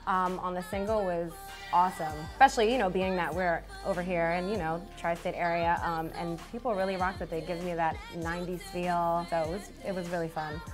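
A woman, a singer being interviewed, talking softly and hesitantly with many fillers. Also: fairly low pitch, fairly even intonation, a little fast, faint music behind. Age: 20s. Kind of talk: casual interview chat. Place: small studio with branded backdrop.

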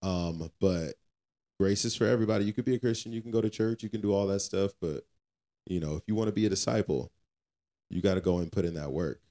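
Deep voiced guy on a faith podcast, real mellow, casual slangy talk but kinda preachy. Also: quick pace. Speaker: podcast co-host.

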